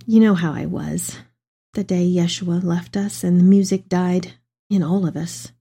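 A woman's voice: on the low side, fairly even intonation, measured pace, warm tone, slightly rough. A woman playing an elderly, tender mother reading her letter aloud in a reflective dramatic reading. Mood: confiding, wistful.

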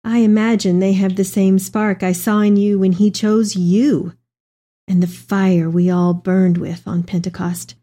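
A woman's voice: mid-range voice, level tone, a little slow, mellow, lightly acted. A woman playing an elderly, tender mother reading her letter aloud in a reflective dramatic reading. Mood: tender, fond.

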